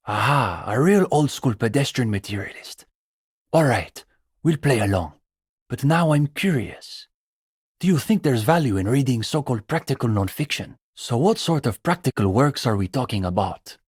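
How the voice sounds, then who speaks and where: An actor playing an earnest young communist student intellectual in a video game, speaking in an articulate, pedantic way. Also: male voice, mid-range voice, a little mellow, breathy edge, no room sound, studio quality. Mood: earnest, assured. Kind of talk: video game dialogue acting.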